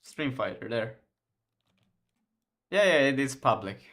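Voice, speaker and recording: A man's voice: mid-range voice, lively intonation, a little slow, gravelly, fairly bright tone. Finland-Swedish young man, rambling stream chat. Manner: rambling, restarts often, laughs a lot. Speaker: game developer streaming. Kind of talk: casual live-stream chat while coding.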